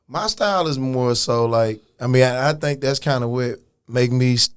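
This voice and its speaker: A man talking casually as a rapper guest on a podcast, frank and profane, with loose restarts and a relaxed, unhurried way of explaining himself. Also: mid-range voice, clean tone, no room sound. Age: adult, older than his early years. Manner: laid-back, frank, profane, restarts and "you know what I'm saying".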